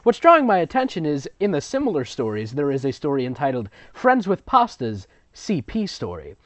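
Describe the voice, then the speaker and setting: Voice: medium pitch, huge pitch swings, brisk, no room sound. A sarcastic, rambling reader giving mocking commentary while reading fan fiction aloud, on dull lo-fi audio. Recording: dull lo-fi audio, close and dry.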